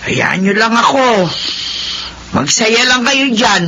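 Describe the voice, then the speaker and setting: A man's voice: extremely high pitch, takes it slowly, background noise, heavily acted. A man playing a fussy, affectionate old grandmother in a radio drama, exclaiming and scolding fondly.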